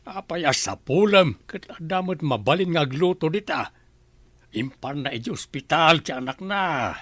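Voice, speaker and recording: A man's voice: fairly high pitch, expressive, moderate pace, fairly bright tone, no room sound. A man acting a complaining older husband in an Ilocano radio drama, grumbling and reproachful.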